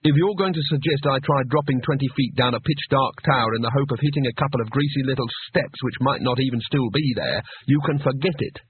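A man voicing a sarcastic, cowardly man in peril, sharp, urgent and grumbling, in an audiobook fantasy story. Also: medium pitch, big pitch swings, fairly quick, narrow, tinny audio, slight background noise. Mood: sharp sarcasm.

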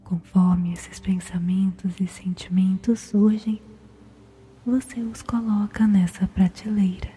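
A woman with a Brazilian accent softly whispers a guided sleep meditation in a slow, soothing, gentle manner. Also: medium pitch, little pitch movement. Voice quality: breathy, whispery. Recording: music behind the voice. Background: meditation music.

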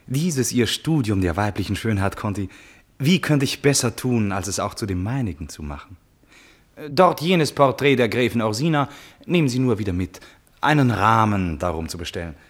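An impulsive young-hearted prince in a stage-classic radio drama. His voice is on the low side and breathy-rough, swinging widely in pitch. He is polite and self-absorbed, with exclamations and musing asides. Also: animated, moderate pace. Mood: eager, generous. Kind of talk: classic German radio play acting.

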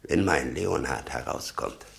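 Gravelly, very deep-voiced, embittered old father. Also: a little slow, fairly bright tone, exaggerated voice. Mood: brooding, regretful. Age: older. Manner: muttering, brooding, with sudden outbursts. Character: embittered old father obsessed with his convicted son. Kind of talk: German radio drama acting.

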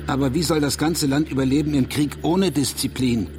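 A man acts out a bitter conservative doomsayer in a radio play. His mid-pitched voice is sardonic and rhetorical, with fear under the scorn. Clear noisy ambience surrounds him. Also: fairly quick, darkish tone, close to an everyday voice. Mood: indignant. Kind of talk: German radio drama acting.

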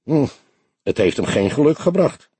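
A man voicing a grey-haired veteran police detective in an audiobook, calm, brooding and weary, with terse, measured questions. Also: mid-range voice, breathy edge, dry recording, voice pushed up.

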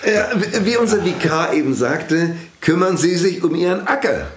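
An actor in a German radio play playing a fervent, self-important priest with a preacherly, impatient manner. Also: male voice, fairly high pitch, lively intonation, normal speed, natural voice. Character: fervent, domineering priest who invented a world language.

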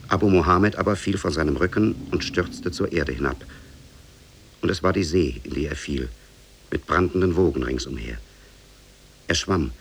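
An actor as the grave storyteller of an Arabian tale, narrating formally and evenly for a 1950s radio drama. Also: male voice, deep voice, breathy edge. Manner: measured, formal.

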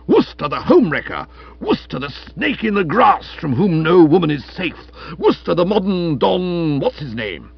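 Pompous, booming, jealous young suitor. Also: male voice, highs cut off, full character voice. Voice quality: deep, resonant. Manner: stiff, crisp, indignant. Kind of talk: comic audiobook character acting.

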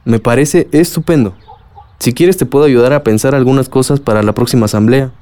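A man's voice: medium pitch, fairly quick, dark tone, natural voice. A man with a Mexican accent playing a supportive, principled young adult son in a radio drama. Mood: supportive, earnest. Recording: music bed under dialogue.

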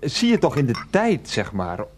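An actor in a Dutch radio play playing an evasive, patronising boyfriend with a halting, placating way of talking. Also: male voice, medium pitch, huge pitch swings, a little hiss or hum. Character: evasive, patronising adult boyfriend explaining himself.

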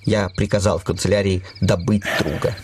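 An actor playing a cool, scheming prime minister with a measured, suave and commanding manner. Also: male voice, low voice, level tone, darkish tone, a little hiss or hum, lightly acted. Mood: smoothly scheming. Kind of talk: Russian stage-comedy radio acting.

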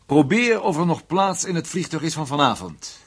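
A man playing a brisk, authoritative boss in a radio drama, giving clipped orders. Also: a little high, very animated, slightly rough, heavily acted. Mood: brisk, businesslike.

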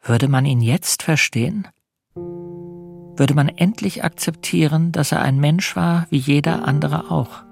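A man's voice: fairly high pitch, slow, gravelly, soft background music. A man playing a lonely, wronged, polite bookseller in a radio drama, subdued in manner. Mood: melancholy. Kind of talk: radio drama dialogue and inner monologue.

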